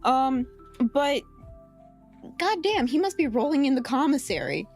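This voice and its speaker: A woman's voice: sits high, very animated, unhurried, faint music behind. Chatty young woman, casual podcast talk. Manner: chatty, lots of ums and likes. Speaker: podcast co-host. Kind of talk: casual chat.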